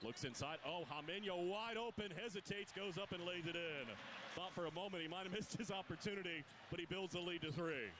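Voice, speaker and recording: A man giving live radio play-by-play of a college basketball game, brisk and rising sharply on big plays. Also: high-pitched. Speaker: play-by-play announcer. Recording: live broadcast with loud arena crowd noise. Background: arena crowd and bouncing ball.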